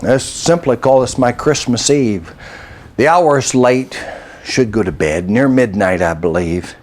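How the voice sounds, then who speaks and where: An older man with an American accent tells a story in rhyming verse. His voice sits at a medium pitch. He delivers the lines in a measured, reflective way, as a practised storyteller. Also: lively intonation, a little mellow. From United States. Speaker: storyteller and poet. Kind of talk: reciting a rhyming narrative poem.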